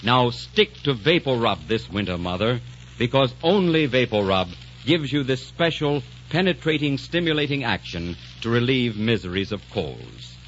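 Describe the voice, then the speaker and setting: A man's voice: medium pitch, a bit bright. A man announcing and reading a commercial in a 1940s radio broadcast, persuasive and emphatic. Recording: dull lo-fi 1940s radio broadcast with faint hiss.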